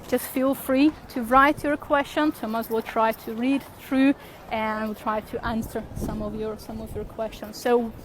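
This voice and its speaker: A woman from Slovakia in her thirties, speaking English, leads viewers on a live outdoor walking tour. She talks in a friendly, unhurried way and keeps pausing on fillers and restarts. Her voice sits a little high, with faint street noise from the city around her. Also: fairly even intonation. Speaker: tour guide.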